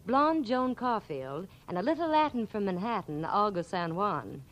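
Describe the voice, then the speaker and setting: A woman's voice: mid-range voice, very animated, normal speed, muffled recording. A woman with an American accent reading scripted advertising dialogue in 1940s radio style.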